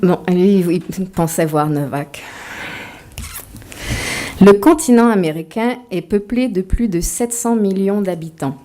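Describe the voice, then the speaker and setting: Dark-voiced woman giving a playful lecture. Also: medium pitch, takes it slowly. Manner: playful, self-mocking asides. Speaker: author giving a talk. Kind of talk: lecture with humorous asides. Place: lecture hall with audience.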